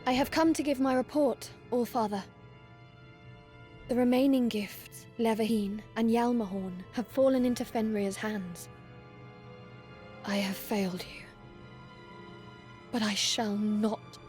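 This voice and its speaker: Casting: actress as dutiful, proud warrior maiden, fairly high voice, very deliberate formal delivery, over loud game music. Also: female voice, fairly even intonation, lightly acted. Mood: grave, ashamed. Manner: formal, archaic, measured phrasing. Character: solemn, proud young female Valkyrie warrior, dutiful and stern. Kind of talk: dramatic fantasy video game acting. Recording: loud orchestral music behind voice.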